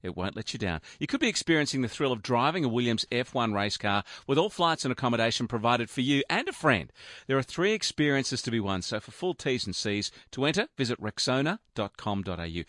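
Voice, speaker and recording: A man's voice: medium pitch, sharp tone, dry recording, clean studio sound. A man hosting a radio health show, introducing guests and taking calls in an upbeat presenter's manner. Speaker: radio host.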